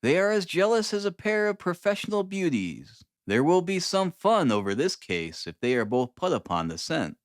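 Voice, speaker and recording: A man voicing a brisk, dryly superior detective in an audiobook, calm and clipped in manner. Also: fairly high pitch, no echo, studio quality, voice pushed up. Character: brisk, dryly superior male detective, languid then energetic. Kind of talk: dramatised audiobook reading of Victorian detective fiction.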